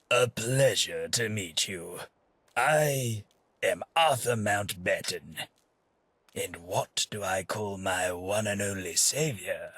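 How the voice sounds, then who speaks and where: An actor playing a fussy, polite gentleman tax collector in a video game, with a wordy, formal and genteel manner. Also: low voice, husky, no echo, heavily acted. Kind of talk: video game character dialogue.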